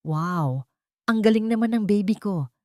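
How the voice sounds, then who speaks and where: A woman's voice: mid-range voice, lively intonation, measured pace, a little mellow, dry recording, clean studio sound, voice pushed up, lightly acted. A woman voicing a young mother who speaks tenderly to her small child. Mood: fond. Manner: tender, fond. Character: young loving mother, woodworker.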